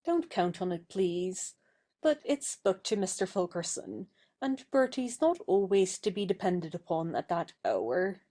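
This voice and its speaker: A woman's voice: on the low side, big pitch swings, unhurried, mellow. A woman playing a proud, impulsive young society woman with a theatrical, changeable manner in an audio stage play. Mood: haughty, stern.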